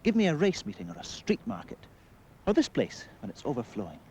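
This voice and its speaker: An actor playing a smug thief who talks like a relishing, emphatic sports pundit. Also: male voice, on the high side, huge pitch swings, brisk. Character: smug expert bag-snatcher as sports pundit. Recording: muffled old film soundtrack, faint noise.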